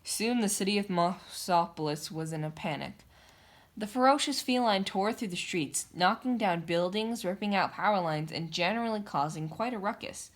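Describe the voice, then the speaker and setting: A woman's voice: medium pitch, moderate pace. A woman reading a children's picture book aloud, narrating in a theatrical, emphatic way. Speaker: storybook reader. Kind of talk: dramatic children's story narration.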